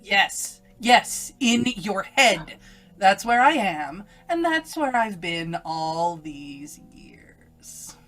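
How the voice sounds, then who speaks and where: A woman's voice: mid-range voice, very animated, drawn-out words, fairly bright tone, faint music behind, exaggerated voice. A woman playing an evil, domineering inner voice in an old-time radio melodrama, taunting and commanding with menace. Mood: gloating, mocking. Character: evil inner voice, a domineering female alter ego.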